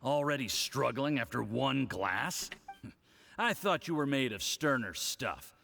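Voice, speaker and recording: A man's voice: medium pitch, expressive, slow pace, vocal fry, bright, forceful tone, a little hiss or hum, exaggerated voice. An actor playing a smug, patronising, scheming diplomat villain in a video game, jovial over his drink. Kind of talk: video game cutscene acting. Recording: long reverberant hall echo.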